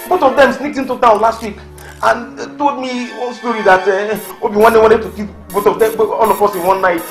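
A man's voice: high voice, fairly even intonation, a little fast, echoey room, soft background music. A man with a Nigerian accent playing a desperate young man in debt who pleads and stammers. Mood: pleading. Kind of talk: Nollywood drama acting.

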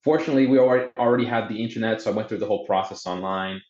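A man's voice: lowish voice, very fast, darkish tone. A man in his thirties from Boston, a native Portuguese speaker, telling his story conversationally in a hesitant, word-repeating way over a video call. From Boston, USA, with teenage years in Brazil. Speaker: podcast guest. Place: indoor room on a video call. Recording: muffled, echoey video call.

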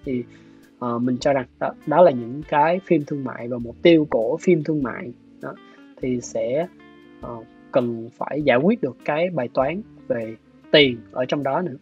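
A man in his thirties with a southern Vietnamese accent explains his views in a measured, hesitant interview style. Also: medium pitch. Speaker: guest, animation director. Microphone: video call. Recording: dull video-call audio, music behind. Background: soft plucked guitar music.

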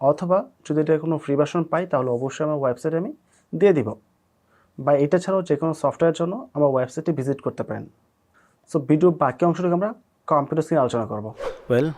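A man hosting a software tutorial, explaining casually and mixing in English words. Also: on the high side. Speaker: tech tutorial host. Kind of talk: screen-recorded software tutorial.

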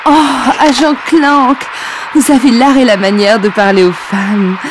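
A woman's voice: fairly high pitch, unhurried, background noise, natural voice. A woman voicing a flirty robot pop star. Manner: sultry, coquettish. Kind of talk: French video game dub. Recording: game audio with music bed.

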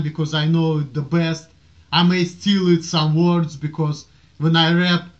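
Russian guy in his 30s rambling at his webcam, kinda high voice, muffled lo-fi sound. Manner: animated, waves his arms, rambling restarts. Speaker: vlogger. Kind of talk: casual rant to camera. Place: small room indoors. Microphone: webcam at desk. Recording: dull lo-fi webcam audio.

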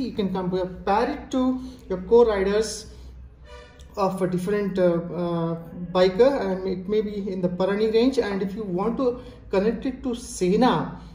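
Mellow Indian-accented woman in an echoey room. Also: mid-range voice. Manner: halting, explanatory. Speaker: product reviewer. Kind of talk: casual hands-on product demonstration. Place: indoors, tiled-floor room. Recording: echoey hard-floored room.

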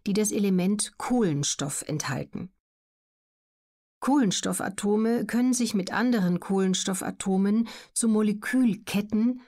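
A woman reads scripted educational narration as an audiobook narrator, measured, factual and clearly articulated. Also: mid-range voice, a little mellow.

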